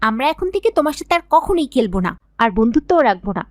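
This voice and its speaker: Fast, high-voiced proud little girl by a woman. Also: very animated, dry recording, studio quality. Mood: scornful. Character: haughty, class-proud young girl, a playmate. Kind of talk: scripted moral cartoon dialogue.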